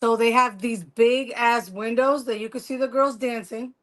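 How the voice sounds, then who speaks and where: A woman's voice: on the high side, fairly even intonation, normal speed. A woman with a New York accent chatting casually on a podcast, frank and laughing. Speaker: podcast guest. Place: San Diego.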